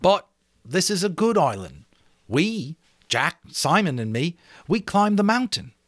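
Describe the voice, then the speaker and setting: A man's voice: sits high, big pitch swings, unhurried, no echo, studio quality, voice pushed up. A man voicing a confident, cheerful schoolboy leader, speaking emphatically as if to a group in a dramatic audiobook reading. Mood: upbeat, rallying.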